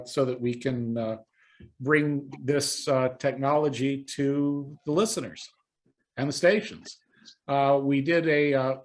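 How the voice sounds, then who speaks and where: A man giving a technical presentation on a video call, speaking in a halting, hesitant way. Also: fairly high pitch, a bit bright.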